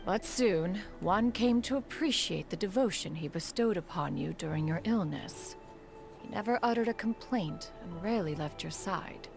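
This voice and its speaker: A woman's voice: lowish voice, animated, moderate pace, vocal fry, soft background music. A woman voicing a proud, motherly immortal crane master in a video game, speaking in stately, formal, archaic phrases. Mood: grudgingly approving. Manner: stately, archaic, calls herself "one".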